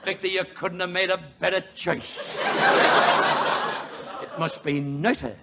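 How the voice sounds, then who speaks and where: A middle-aged man, hosting 1940s radio comedy banter with gravelly wisecracks. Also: high voice, fairly even intonation, measured pace. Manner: gravelly, wisecracking, laughing at his own jokes. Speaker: comedy show host. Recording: noisy old radio broadcast.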